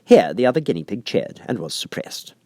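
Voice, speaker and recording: A man's voice: lowish voice, sing-song, brisk, a little mellow, no echo, clean studio sound. A man reading a children's story aloud as scripted audiobook narration.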